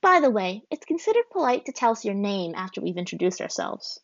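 A woman's voice: medium pitch, sing-song, a little fast, gravelly, no echo, highs cut off. A woman voicing a sassy, teasing young firebender girl who talks down to others. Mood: scornful.

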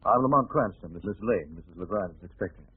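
A calm, wealthy young amateur detective in a vintage radio drama. His speech is quick and on the low side. The sound is tinny with some hiss. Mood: wry, calm. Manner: calm, urbane. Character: composed, wealthy young gentleman sleuth. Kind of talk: 1940s radio acting.

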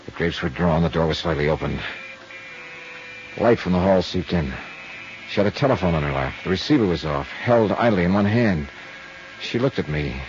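Low-voiced hard-boiled investigator. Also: male voice, lively intonation, normal speed, background noise. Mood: terse, matter-of-fact. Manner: terse, matter-of-fact. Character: hard-boiled, no-nonsense male insurance investigator narrating cases. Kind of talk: 1950s radio detective acting and narration. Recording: muffled old radio recording with music.

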